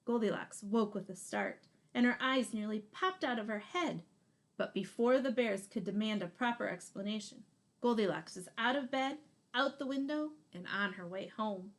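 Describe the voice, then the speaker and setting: A woman in her thirties reads a children's picture book aloud expressively, leaning deliberately on key words. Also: mid-range voice. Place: small room indoors.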